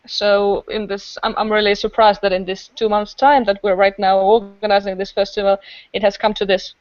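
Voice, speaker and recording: A woman's voice: medium pitch, little pitch movement, moderate pace, dry recording, highs cut off. A woman chatting enthusiastically and in a rambling way, like a festival organizer on a podcast. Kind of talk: casual podcast conversation.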